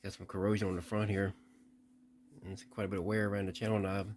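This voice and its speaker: A man with a fairly low voice talks casually through a hands-on test. He sounds unscripted and a little hesitant, working things out aloud. There is light noise in the recording. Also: level tone, fast, darkish tone. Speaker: vlogger testing vintage CB radios. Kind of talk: casual hands-on demonstration commentary.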